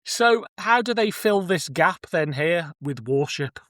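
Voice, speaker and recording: A man's voice: fairly high pitch, animated, a little slow, fairly bright tone, dry recording, studio quality. A man hosting a podcast in a casual, conversational way, with a wryly joking manner. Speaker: podcast host. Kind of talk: casual chat.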